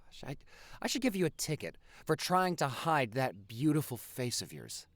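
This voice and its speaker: A man's voice: medium pitch, big pitch swings, no echo. A man playing a teasing, silly, doting boyfriend who has just woken up, rambling and gushing in an intimate audio roleplay. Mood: tender, adoring. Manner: rambling, gushing, halting with repeated words.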